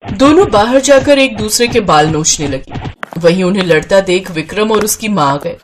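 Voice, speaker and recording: A woman's voice: mid-range voice, expressive, normal speed, slight background noise. A woman narrator reading a scripted story in a storytelling style.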